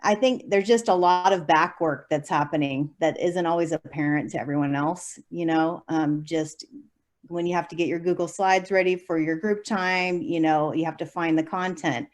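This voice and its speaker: A woman's voice: on the low side, steady tone, moderate pace. A young adult woman, a teacher, answering interview questions in a chatty way with many fillers and light laughs. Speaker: special education preschool teacher.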